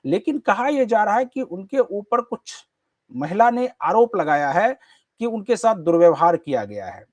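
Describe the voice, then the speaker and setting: A man's voice: high-pitched, very animated, normal speed, a little mellow, dry recording. A man hosting a news interview, putting long, winding questions with careful qualifications. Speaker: news show host, interviewer.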